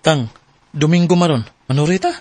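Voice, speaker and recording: An actor playing an ardent, coaxing young suitor in a radio drama, with a Cebuano accent. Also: male voice, a little high, fairly quick, close to an everyday voice. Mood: eager. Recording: music bed under radio drama voices. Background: music.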